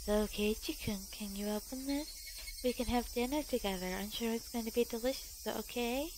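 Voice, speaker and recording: A high, sing-song teenage girl, as a woman reader puts her on, sweet and creepy, turning to shrill, cracking pleas. Soft music and crickets sit behind the storybook voice. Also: moderate pace, background noise, lightly acted. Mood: eerie sweet. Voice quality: high, pleading, cracking into shrieks.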